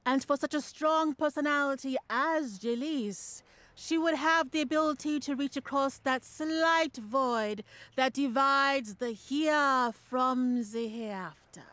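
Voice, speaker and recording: A woman's voice: high voice, takes it slowly, fairly bright tone, no echo. A woman playing a mysterious, coaxing spirit medium in an audio ghost story, with a dramatic, portentous delivery. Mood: coaxing, confident. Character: theatrical female spirit medium, mysterious, coaxing and self-assured.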